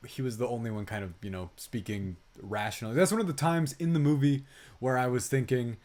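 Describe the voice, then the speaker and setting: A man's voice: medium pitch, fairly quick, darkish tone. A man co-hosting a film review podcast, chatting casually and animatedly with some swearing.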